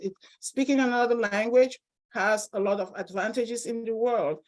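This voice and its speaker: A woman's voice: mid-range voice, a little mellow, low-quality recording. A woman from Cameroon with a Cameroonian accent, speaking as a professor giving an informal, personal academic presentation at a seminar.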